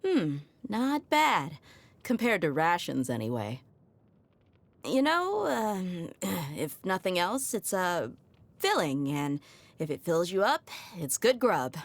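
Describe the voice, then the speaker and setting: A woman's voice: fairly low pitch, very animated, takes it slowly, dry recording, exaggerated voice. An actress voicing a tough but easygoing young desert mercenary woman in a video game, with a casual, frank manner. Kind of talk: video game character voice lines.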